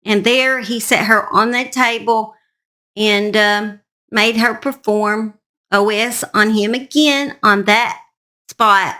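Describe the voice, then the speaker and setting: A woman's voice: medium pitch, fairly even intonation, slow, bright, forceful tone. A woman host narrating a true crime story in an informal, plain-spoken, conversational way, speaking straight to her listeners.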